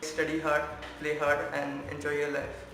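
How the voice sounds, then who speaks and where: A man's voice: on the high side, monotone, moderate pace, noisy background. A man in his twenties with an Indian accent, giving a student testimonial. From Maharashtra, India. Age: 20s. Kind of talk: interview testimonial. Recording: very echoey room, faint music.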